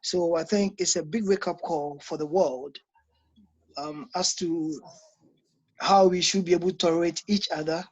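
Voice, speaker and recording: Ghanaian guy, kinda high and husky, stops and starts a lot, dull lo-fi audio. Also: steady tone. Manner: thoughtful, halting with many ums. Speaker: interviewee, church member. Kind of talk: casual spoken reflection.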